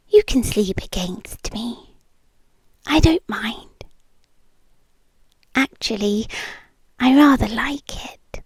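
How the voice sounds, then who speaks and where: A breathy British woman performing as a yandere girlfriend, speaking softly and very close in a dry ASMR recording. Her voice is high and often slips into a whisper. She is coaxing, doting and quietly possessive. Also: no echo, heavily acted. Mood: tender, possessive. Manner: slow, soft, intimate and coaxing. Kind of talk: intimate ASMR roleplay. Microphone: close microphone, dry.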